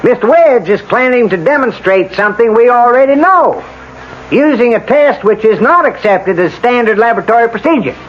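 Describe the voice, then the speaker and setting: An actor playing a skeptical, scornful prosecutor in a radio drama, formal and sharply challenging. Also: male voice, extremely high pitch, expressive, moderate pace. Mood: indignant. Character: combative, skeptical male prosecutor, scornful and objecting. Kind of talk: 1970s-80s radio drama acting. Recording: dull, hissy old radio broadcast.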